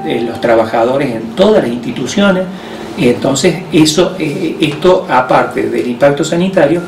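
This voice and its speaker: A man's voice: mid-range voice, gravelly, darkish tone, a little hiss or hum. Argentine man on a distant, roomy mic. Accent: Argentine Spanish. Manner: measured, explanatory, with frequent hesitations. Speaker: hospital director. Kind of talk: explaining health measures on radio.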